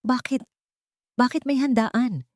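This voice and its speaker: A woman's voice: a little high, slow pace, a little mellow, no room sound, clean studio sound, pitched above the performer's own voice. A woman voicing a hopeful, lovesick young woman coming home, in an emotional, yearning way, for an audiobook drama. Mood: puzzled.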